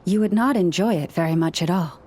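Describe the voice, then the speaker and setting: An actress playing a gentle, patient young woman who speaks with animals in a video game, polite and measured. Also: on the low side, a little hiss or hum, natural voice. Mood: confiding, explanatory.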